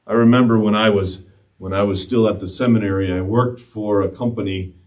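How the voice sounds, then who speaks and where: A man in his thirties preaching a sermon in a church sanctuary, swinging between loud impassioned rises and hushed quiet passages. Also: lowish voice, fairly even intonation, a little fast. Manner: gestures widely while preaching standing before the altar. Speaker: pastor. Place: church sanctuary, mid-sized, indoors. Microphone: far camera, no handheld mic. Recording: speaker distant from the mic.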